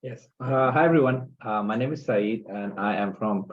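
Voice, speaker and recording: A man with a fairly low voice lists his work tasks in a matter-of-fact way. He comes through a tinny, phone-like line. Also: moderate pace. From Pakistan. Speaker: virtual assistant. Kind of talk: self-introduction.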